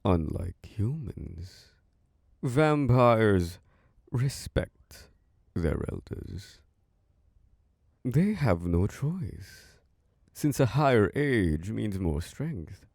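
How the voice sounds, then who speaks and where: A man plays an ancient vampire lord in an ASMR audio drama, charming and possessive. The voice is very low and gravelly, with a dark tone. The delivery is slow and velvety, swinging widely in pitch, recorded close and dry. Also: big pitch swings, no echo, exaggerated voice. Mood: seductive. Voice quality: deep, gravelly. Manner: slow, velvety and flirtatious, with soft laughs.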